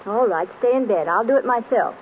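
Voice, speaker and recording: An actress playing a detective's playful, persistent wife, teasing and nudging, in an old radio drama. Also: female voice, fairly high pitch, animated, fairly quick, natural voice. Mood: mildly cross. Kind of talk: 1930s radio drama acting. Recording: dull, noisy old radio recording.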